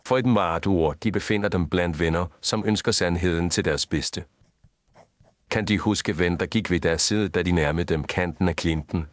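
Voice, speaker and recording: A man playing a composed, grave detective in an audio story, speaking formally and with measured deliberation. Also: sits low, dry recording, natural voice. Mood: grave, probing. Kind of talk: scripted audio story dialogue.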